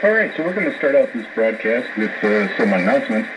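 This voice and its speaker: A man hosting a radio show, giving informal announcements in a halting, hesitant way with frequent uhs and repeated words. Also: mid-range voice, sing-song. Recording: very noisy shortwave radio reception. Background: loud radio static and noise.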